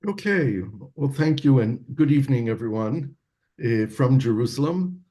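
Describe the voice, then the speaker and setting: Measured older American man, mellow voice. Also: mid-range voice, lively intonation. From United States. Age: 60s. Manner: measured, reflective, some hesitation. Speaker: guest. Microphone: video call.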